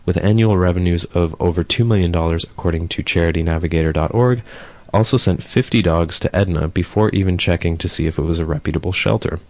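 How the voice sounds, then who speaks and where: A man hosting a community talk radio show, hoarse from a cold, reading news items and then introducing a guest with relaxed fillers. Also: low voice, no echo. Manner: reads news steadily, then chats with fillers. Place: radio studio.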